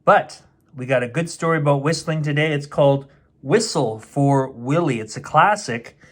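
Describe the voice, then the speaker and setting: A man's voice: fairly high pitch, normal speed. A middle-aged man reading a picture book aloud as a storyteller, with playful, chatty asides aimed at children. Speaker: storyteller, host of a bedtime story show.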